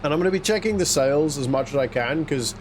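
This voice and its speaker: A man's voice: fairly high pitch, animated, fast talker, slight background noise. A man whose first language is Afrikaans, chatting casually and rambling to himself while playing a farming game. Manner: rambling, self-talking, chuckles to himself. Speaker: gaming YouTuber. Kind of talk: casual let's-play commentary.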